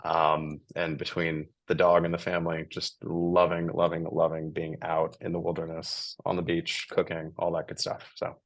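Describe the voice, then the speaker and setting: An American man in his forties talks through a relaxed podcast interview. His voice sits very low with a gravelly edge and some huskiness. He is chatty and full of filler words. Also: normal speed, few pauses. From United States. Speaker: guest.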